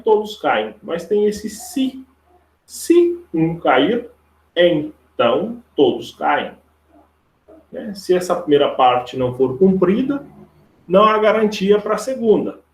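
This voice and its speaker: Creaky-voiced Brazilian man teaching, echoey room. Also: on the high side, a little mellow. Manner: informal, repetitive, often seeking agreement with tag questions. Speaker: math and logic teacher. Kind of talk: live online lesson, explaining step by step. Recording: roomy, echoey online class audio.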